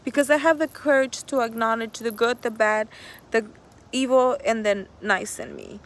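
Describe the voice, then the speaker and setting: High-voiced woman talking outdoors. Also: steady tone, normal speed. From El Salvador. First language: Spanish. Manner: earnest, rambling, swears freely, laughs often. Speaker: vlogger. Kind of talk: casual motivational monologue. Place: outdoors in nature.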